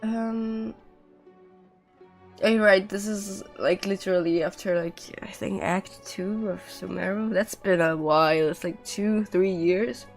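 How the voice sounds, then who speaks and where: A woman's voice: mid-range voice, unhurried, vocal fry. A woman livestreamer chatting casually and rambling over a game, audibly recovering from being sick. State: recovering from sickness, coughing, sore throat. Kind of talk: casual game stream chat. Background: music.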